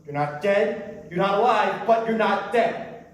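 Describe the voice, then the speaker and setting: A man's voice: sits high, a little slow. A man acting a lonely, conflicted official of the afterlife in a staged play reading, blunt but faltering and repeating himself. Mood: measured, blunt. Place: indoor performance space before an audience. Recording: echoey hall, distant microphone.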